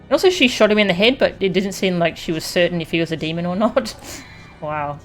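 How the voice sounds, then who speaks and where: A woman's voice: fairly low pitch, expressive, brisk. A woman streaming a video game with casual, off-the-cuff playthrough commentary. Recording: faint game music behind. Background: video game audio.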